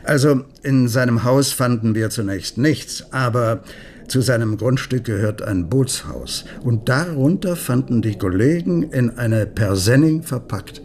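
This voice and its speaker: A man's voice: medium pitch, animated, measured pace, darkish tone, a little hiss or hum. An actor playing a wry, officious male police investigator in a radio crime drama, explaining step by step. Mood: matter-of-fact.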